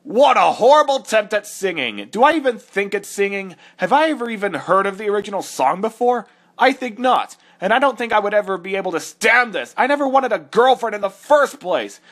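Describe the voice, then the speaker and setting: Man voicing an irritable high-pitched cartoon echidna. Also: expressive, brisk, fairly bright tone. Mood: exasperated. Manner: indignant, scornful, easily exasperated. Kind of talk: comedic dramatic reading with exasperated asides.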